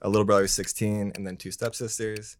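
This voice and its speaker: A young man from the US, a dating game contestant, talking in a relaxed, hesitant way with lots of ums. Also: on the low side, quick pace, a little hiss or hum. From San Diego, USA. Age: young adult.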